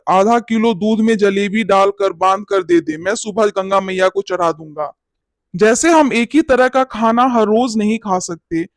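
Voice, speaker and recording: A man's voice: sits high, no room sound, clean studio sound. A man playing a greedy, glib and bossy village priest in a cartoon bedtime story, scolding and cajoling. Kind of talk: animated bedtime story character acting.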